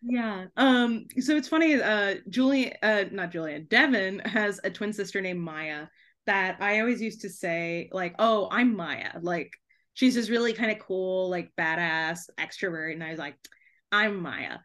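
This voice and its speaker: A woman's voice: mid-range voice, a little slow, a bit bright. A young woman chatting casually and effusively as a podcast guest, giggly and sprinkling her talk with "like". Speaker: podcast guest, debut author.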